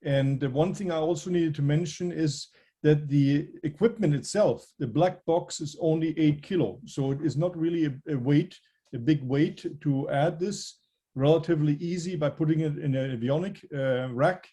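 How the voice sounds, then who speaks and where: A man answering interview questions about aviation technology, measured and hesitant as he explains technical points. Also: fairly high pitch, warm tone, slightly rough.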